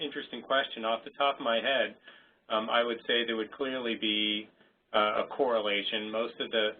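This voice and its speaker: Brief: male webinar presenter, halting, thinks aloud, phone-line audio with faint hiss. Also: medium pitch. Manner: hesitant, many restarts and fillers. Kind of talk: unscripted Q&A answer. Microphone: conference call line.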